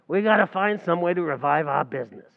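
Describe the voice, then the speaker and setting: A man acting a scheming, fast-talking tavern manager with a gravelly, streetwise voice and mangled grammar, in an old-time radio comedy recreation. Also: fairly high pitch, expressive, in a large hall, narrow, tinny audio. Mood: wheedling. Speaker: stage actor in a radio play recreation.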